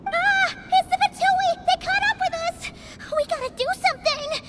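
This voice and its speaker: Squeaky, excitable little fairy companion. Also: female voice, normal speed, very noisy. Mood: alarmed. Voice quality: squeaky, childlike. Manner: exclamatory, dramatic. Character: small, excitable, loyal flying fairy companion with childlike voice. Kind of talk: video game voice acting. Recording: loud music behind the voice.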